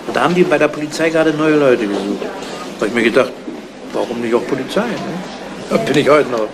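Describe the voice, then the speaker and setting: A man playing a seasoned, unhurried middle-aged police detective in a 1970s radio crime drama, talking in a dry, colloquial way. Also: mid-range voice, lively intonation, natural voice. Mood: reminiscing. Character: seasoned, unhurried veteran police detective, jaded and matter-of-fact. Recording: noisy old radio play recording.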